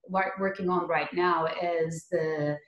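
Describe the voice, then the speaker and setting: A woman's voice: fairly low pitch, steady tone, slow pace, muffled recording. Turkish-accented woman in an echoey room. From Turkey. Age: 30s. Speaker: podcast guest, geotechnical earthquake engineer. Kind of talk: conversational interview answers. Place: living room at home, indoors. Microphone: computer mic on a video call. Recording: roomy echo on video call.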